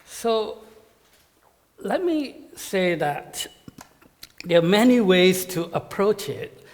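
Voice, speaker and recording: A man giving a conference talk to pastors as a guest speaker, with a measured, halting delivery full of fillers and repeated words. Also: sits high, big pitch swings, darkish tone. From Malaysia. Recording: reverberant hall sound.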